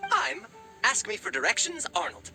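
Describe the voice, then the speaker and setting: A man's voice: very high-pitched, huge pitch swings, moderate pace, gravelly, over music, heavily acted. A man voicing a flustered, lovestruck talking raven in a video game, stammering and fawning with courtly pomp. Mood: fussy. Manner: stammering, fawning, old-fashioned courtly speech. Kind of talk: animated game character acting.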